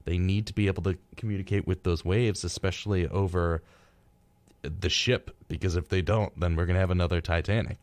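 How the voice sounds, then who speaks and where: Young guy hosting radio, deep voice, dry studio sound, casual and a bit wry. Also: fairly even intonation, a little fast, no echo. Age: young adult. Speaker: radio show host. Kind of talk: casual radio chat with read-out facts.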